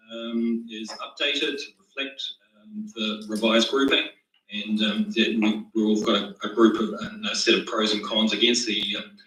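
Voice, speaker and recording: A man's voice: on the low side, bright, forceful tone. A man, a project manager briefing a council meeting, hesitant and full of fillers. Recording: roomy, echoey, distant from the mic.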